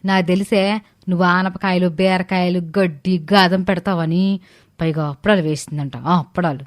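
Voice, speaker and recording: A woman playing a gluttonous, sly older mother-in-law in an animated story, with rustic, drawn-out exclamations. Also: on the low side, lightly acted. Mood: grumbling dismay. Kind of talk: animated moral story dialogue.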